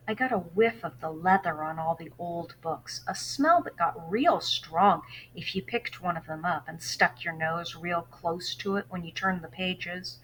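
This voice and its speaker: A woman's voice: fairly low pitch, slight background noise, low-quality recording. A woman teacher reading a novel aloud to students, giving instructions in between and telling the story expressively. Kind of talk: read-aloud lesson for students.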